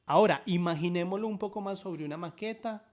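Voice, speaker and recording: An upbeat male instructor with a slightly high voice walks viewers through a sign language lesson. He explains step by step and keeps checking in with quick questions. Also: darkish tone.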